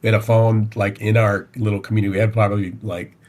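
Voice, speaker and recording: Podcast guest: middle-aged Southern US man, lower-pitched, folksy and halting, recounting his life conversationally. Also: little pitch movement, a little mellow. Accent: Southern American, Tennessee. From Tennessee, United States. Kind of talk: conversational personal storytelling.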